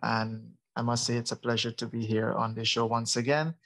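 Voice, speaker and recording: A Guyanese man speaks as a guest priest on a discussion panel. His voice sits at an ordinary pitch, and his delivery is conversational, measured and earnest. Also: completely flat delivery, creaky, a bit bright.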